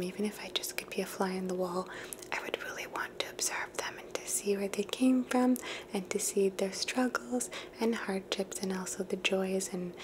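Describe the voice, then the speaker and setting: A woman whispers softly and without voice, drifting through her thoughts in a slow, reflective way. She is hosting an ASMR video, and her voice sits at a middle pitch. A constant hiss runs underneath her words. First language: English. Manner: soft unvoiced whisper, musing and thoughtful. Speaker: ASMR host.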